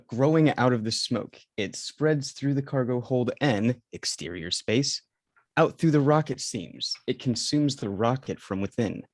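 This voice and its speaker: A man's voice: medium pitch, dry recording. A man reading scripted stage directions as narrator at a table read.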